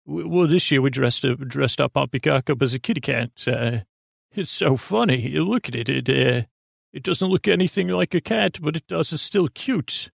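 A man acts out a fussy Gilded Age aristocrat from a bedtime tale, doting and genteel. The voice is creaky and halting, dithering along in a close, dry sound. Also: medium pitch, no echo.

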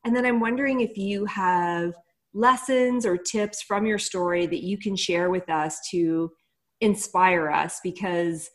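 Casting: female interview host, slightly low register, conversational and encouraging. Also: unhurried.

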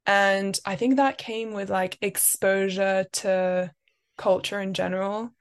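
A woman's voice: medium pitch, steady tone, slow, clean tone. Young woman, reflective, chatty, frequent fillers. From France, raised in London. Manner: thoughtful, many "like" and "um" fillers. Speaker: guest. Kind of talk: reflective interview talk.

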